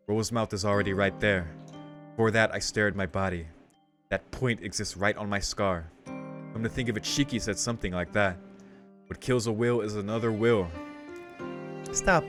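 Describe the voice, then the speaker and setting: A man's voice: fairly low pitch, fairly even intonation, normal speed, fairly bright tone. Casual man narrating over music. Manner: casual, slangy, swearing. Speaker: streamer doing a visual novel playthrough. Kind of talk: reading game text aloud with casual reactions. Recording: music behind the voice. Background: game music.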